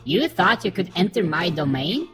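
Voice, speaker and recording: A man voicing a wicked, malevolent witch who taunts in a menacing, drawn-out manner in an audio story. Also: sits high, sing-song, over music, pitched above the performer's own voice.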